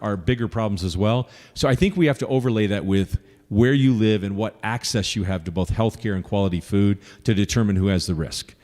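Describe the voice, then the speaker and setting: Lower-voiced man answering in an echoey hall. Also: darkish tone. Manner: earnest, lists points, pauses with fillers. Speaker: congressional candidate. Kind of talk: answering audience questions at a forum.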